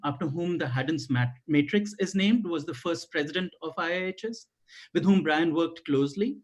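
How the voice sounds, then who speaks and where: An Indian man with a fairly high voice opens a webinar over a video call. He speaks earnestly, with frequent fillers and restarts. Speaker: webinar moderator, professor. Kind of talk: introducing a guest.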